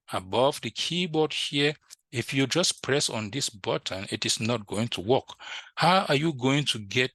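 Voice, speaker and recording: Guy doing a computer tutorial, bright forceful voice, super dry sound, repeats himself, says right? a lot. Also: medium pitch, no echo. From French-speaking country, moved to the US. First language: French. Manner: repetitive, instructive, often checks with "right?". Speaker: IT instructor. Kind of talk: informal screen-share tutorial.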